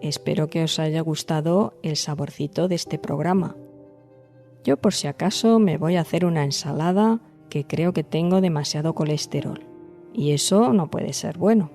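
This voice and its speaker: A woman hosting and narrating a scripted storytelling podcast, addressing listeners directly in measured phrasing. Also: low voice, dark tone. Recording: music behind the voice. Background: background music.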